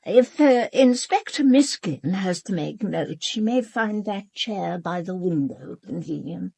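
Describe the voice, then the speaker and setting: A woman's voice: mid-range voice, expressive, unhurried, vocal fry, warm tone, husky, no echo, full character voice. An actress playing an imperious old aristocratic lady in a radio drama, with a clipped, formal, upper-class English delivery. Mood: formal, dry. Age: older.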